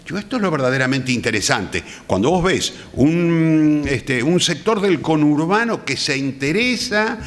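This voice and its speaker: In a reverberant hall, a man with a Rioplatense Argentine accent talks as a guest on a panel. His pitch moves widely as he develops his thoughts, often searching for the right word. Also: lively intonation, unhurried, hardly any pauses. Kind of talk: reflective panel conversation. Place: theatre, speaking on stage.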